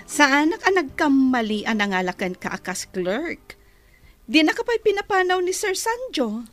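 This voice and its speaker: A woman's voice: high voice, very animated, a little slow, faint music behind. A woman with an Ilocano accent playing a prying, catty office superior with a pointed manner in a radio drama. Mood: sarcastic, scolding.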